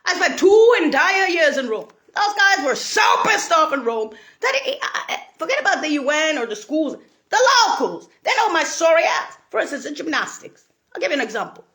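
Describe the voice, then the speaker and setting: High, lively woman rambling. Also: a little fast. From Italy. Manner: profane, laughing, bursts into shouts. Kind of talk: rambling monologue.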